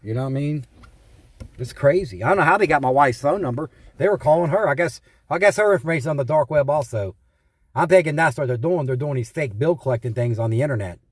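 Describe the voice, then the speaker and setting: A man's voice: a little high, expressive, fast, breathy edge, no echo, clean studio sound. A man talking casually as a caller, telling anecdotes in an exasperated, repetitive way and quoting past exchanges. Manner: repeats himself, quotes past exchanges, exasperated complaining. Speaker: caller reporting identity theft and scam calls.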